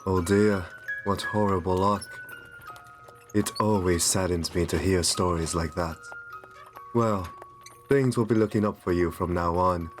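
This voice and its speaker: A man acts out a wealthy, gentle male vampire in an ASMR roleplay. His voice is very low and unhurried, soft and genteel, soothing yet quietly possessive. Music plays behind him. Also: fairly even intonation. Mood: kindly, reassuring.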